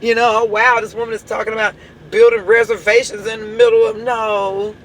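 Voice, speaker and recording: Hoarse woman preaching. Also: on the high side, little pitch movement, fairly quick, slight background noise, poor audio. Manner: emphatic, repeats rhetorical questions. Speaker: church leader. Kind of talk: impassioned church address.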